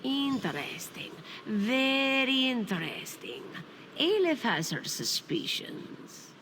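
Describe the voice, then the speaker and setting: Shrewd feline fighter, high-set voice, suspicious and deliberate, fantasy game, in long reverb. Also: female voice, very animated, full character voice. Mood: wary, intrigued. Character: shrewd female cat-folk warrior, speaks in third person. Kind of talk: fantasy video game acting. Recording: long reverb, faint background noise.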